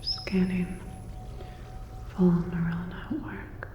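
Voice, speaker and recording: A woman whispering a scripted sci-fi ASMR roleplay as a calm, clinical robot repair technician, soft, breathy and gently instructive. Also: on the low side, drawn-out words, echoey room, long reverb tail, soft background music.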